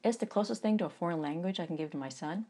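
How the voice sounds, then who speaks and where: A woman's voice: lowish voice, rapid-fire. Woman in her forties reading her own memoir. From United States. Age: 40s. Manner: conversational, wry asides, animated emphasis. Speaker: author.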